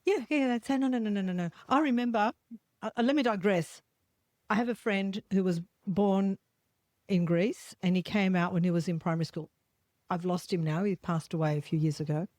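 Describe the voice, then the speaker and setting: Australian woman telling a lively story. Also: medium pitch, fairly quick, no room sound. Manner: animated storyteller, acts out quoted voices. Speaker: podcast host. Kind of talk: casual storytelling chat.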